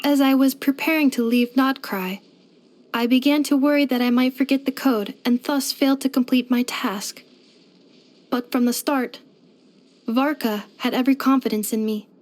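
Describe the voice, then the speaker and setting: Woman acting a polite robot girl, higher-pitched voice, earnest, exact machine-like phrasing, game character lines. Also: fairly even intonation, a little mellow, close to an everyday voice. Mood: gentle, nostalgic. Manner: formal, precise, measured delivery with clinical machine-like phrasing. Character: polite female robot maid, earnest, gentle and literal-minded.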